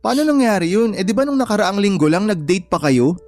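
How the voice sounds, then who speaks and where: An actor playing a protective, exasperated adult son in a radio-style drama, blunt and earnest, with a Filipino accent. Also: male voice, high voice, very animated, brisk, darkish tone. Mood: shocked, indignant.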